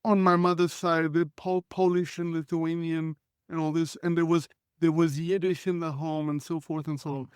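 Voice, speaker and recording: High-voiced, smooth man in his forties. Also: little pitch movement, normal speed, no room sound, studio quality. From Israel. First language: Hebrew. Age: 40s. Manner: earnest, reflective, clears throat often. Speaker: guest. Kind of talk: conversational life storytelling.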